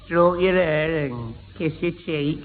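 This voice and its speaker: A man playing a bashful, lovestruck young sweetheart, halting as he speaks, in a 1960s British radio sitcom. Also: a little high. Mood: soppy, romantic. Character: soppy, bashful young sweetheart wooing his girl. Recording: thin, tinny old radio recording.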